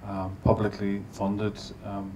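A man's voice: fairly low pitch, completely flat delivery, slow, noisy background. A middle-aged man chairing a panel on a cinema stage, asking long questions full of restarts. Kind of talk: interviewing a guest on stage. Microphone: handheld mic.

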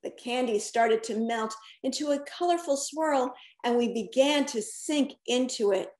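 High-voiced, unhurried woman storyteller. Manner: expressive, asks the listeners questions. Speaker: children's author and storyteller. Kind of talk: picture-book read-aloud with asides to children. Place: indoors, attic-style room at home. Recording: roomy home recording.

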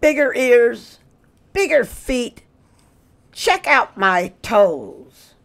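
A woman's voice: a little high, sing-song, drawn-out words, gravelly, fairly bright tone, voice pushed up, exaggerated voice. A woman voicing an indignant, boastful hare from a children's storybook, emphatic and proudly correcting. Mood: proud, insistent.

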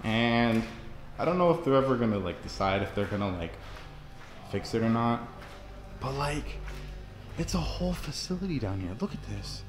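A young man vlogging as he walks through an empty basement, talking in a rambling, nervous, hushed way, recorded on a handheld phone. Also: mid-range voice, big pitch swings, normal speed, long pauses, slight background noise. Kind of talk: casual handheld walkthrough vlog. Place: empty concrete basement, large indoor space. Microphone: handheld phone camera. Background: faint music.

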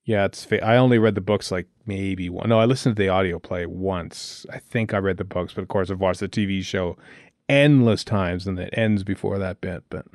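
Male podcast host, lowish voice, very dry sound, wry and conversational commentary. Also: moderate pace, a little mellow, no echo. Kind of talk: casual chat, TV episode commentary.

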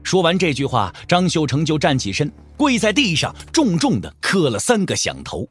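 A man's voice: on the high side, wildly swooping pitch, normal speed, a bit bright, breathy edge, soft background music. A man narrating a novel as a storyteller in a dramatic, scripted audio drama style.

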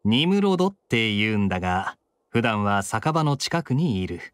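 A man voicing a suave, laid-back young knight captain in an anime game, smooth-talking and unhurried. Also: on the low side, very animated, no echo, studio quality. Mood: relaxed.

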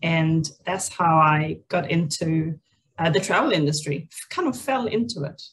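Lower-pitched Swedish woman, reflective and hesitant. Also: measured pace, rough recording. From Sweden. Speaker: interview guest, people and culture leader. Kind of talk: conversational personal storytelling. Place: indoors, on a video call.

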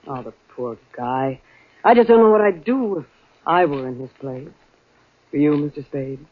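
A woman's voice: low-pitched, expressive, moderate pace, phone-quality sound. A woman playing a coy, teasing, knowing nurse in a 1940s radio drama. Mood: regretful. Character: coy, flirtatious female nurse, cool and knowing. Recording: thin noisy 1940s radio recording.

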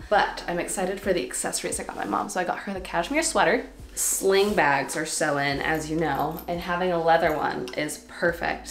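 A woman's voice: fairly low pitch, roomy sound. A woman in her twenties chatting casually to camera in a vlog, bubbly and laughing between phrases, with a stuffy nose. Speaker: vlogger. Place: home living room and bedroom.

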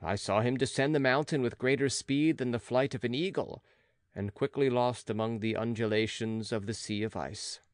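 A man narrating a classic gothic novel as an audiobook, in a measured, dramatic literary manner. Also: mid-range voice, steady tone, dry recording, studio quality.

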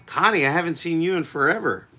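A middle-aged man in his forties chatting casually on a home livestream, his voice still a little rough after losing it, rambling easily with lots of fillers. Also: on the high side, fast. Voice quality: recovering voice, slightly rough. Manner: laid-back, rambling with many fillers. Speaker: country singer hosting a livestream. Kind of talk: casual livestream chat with viewers. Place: home music room, indoors. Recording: loud acoustic guitar in the mix.

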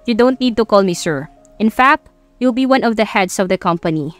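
A woman's voice: mid-range voice, lively intonation, moderate pace, faint music behind, lightly acted. A woman voicing a confident, commanding male company boss and father in a romance audiobook. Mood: pleased, assured. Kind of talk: romance audiobook character acting.

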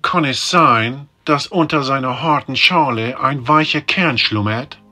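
Guy playing a sea god, bright tense voice, careful and calm. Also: medium pitch, measured pace, soft background music. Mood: thoughtful. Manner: cautious, thoughtful.

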